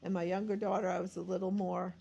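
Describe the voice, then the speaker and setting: A woman's voice: on the low side, flat delivery, fast talker, vocal fry, warm tone, highs cut off, slight background noise. A woman in her sixties talking about her life in a rambling, confessional vlog, meandering and self-deprecating. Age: 60s. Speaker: vlogger and self-published author.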